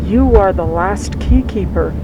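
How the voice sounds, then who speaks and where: A woman's voice: mid-range voice, unhurried, very noisy, lightly acted. A woman playing a solemn, encouraging mother remembered in a fantasy audio drama. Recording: dull, muffled sound under loud music.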